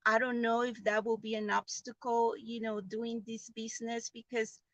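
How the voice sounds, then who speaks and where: Bright, high-voiced woman with non-native English accent. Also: flat delivery, normal speed, no echo. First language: not English. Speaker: online business member giving a testimonial. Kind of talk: informal personal testimonial.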